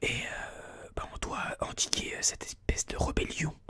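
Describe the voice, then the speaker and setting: A person whispering informal, explanatory ASMR gameplay commentary in a breathy, unvoiced voice, a little hesitant, close on a binaural mic. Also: unhurried, bright, forceful tone. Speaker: ASMR gaming video host. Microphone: close binaural microphone.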